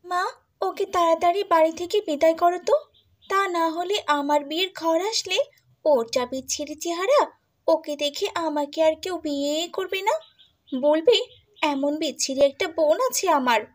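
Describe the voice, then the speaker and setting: A woman's voice: very high-pitched, normal speed. A woman voicing a spoiled, haughty young daughter in a cartoon, sneering and whiny. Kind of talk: Bengali cartoon dubbing.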